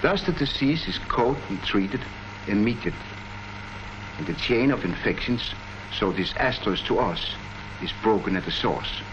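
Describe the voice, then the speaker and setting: Earnest low-voiced 1940s colonel. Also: male voice, animated, normal speed. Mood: earnest, explanatory. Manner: earnest, declamatory, rhetorical. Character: earnest male army colonel presenting public health facts. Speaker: presenter. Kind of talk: 1940s public education film narration. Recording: muffled, noisy 1940s film soundtrack.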